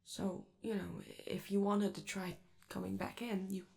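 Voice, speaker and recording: A woman playing a friendly, awkward young male robot in a soft ASMR roleplay, with a creaky voice and a hesitant, rambling, apologetic delivery. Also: mid-range voice, flat delivery, a little slow. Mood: gentle, earnest.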